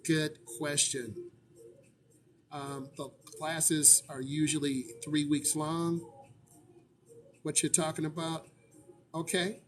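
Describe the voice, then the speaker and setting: A man's voice: fairly high pitch, a little hiss or hum. Chatty man answering questions live. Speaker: driving instructor. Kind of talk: casual live-stream Q&A.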